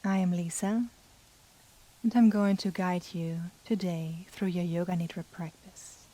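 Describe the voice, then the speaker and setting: A woman guiding a yoga nidra meditation in a soft, breathy, soothing manner with long pauses. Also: on the low side, fairly even intonation, low-quality recording. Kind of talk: guided meditation.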